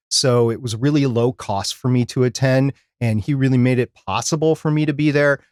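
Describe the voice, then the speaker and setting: A man's voice: mid-range voice, darkish tone, dry recording, studio quality. A man co-hosting a podcast in a casual live-stream style, talking emphatically and repeating phrases for emphasis. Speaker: podcast co-host.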